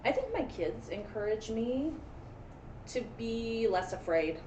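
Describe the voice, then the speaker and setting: An elementary teacher reads aloud in an unhurried, very lively voice, giving the storybook drama and encouragement. She is recorded at a distance in a roomy, echoing space. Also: a little high, highs cut off. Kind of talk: expressive children's read-aloud with friendly chat. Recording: roomy echo, distant mic, faint noise.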